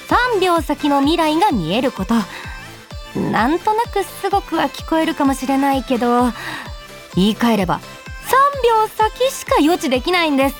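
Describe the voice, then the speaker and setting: Radio drama heroine, played by a woman: twenties office worker, high, very expressive, bubbly, quick to retort. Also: close to an everyday voice. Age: 20s. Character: cheerful 24-year-old female office worker, secretly psychic. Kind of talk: radio drama acting and first-person narration.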